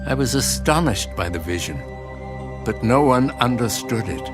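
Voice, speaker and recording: A man narrating a dramatised scripture audiobook in a solemn, measured, earnest style. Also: mid-range voice, lively intonation. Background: music.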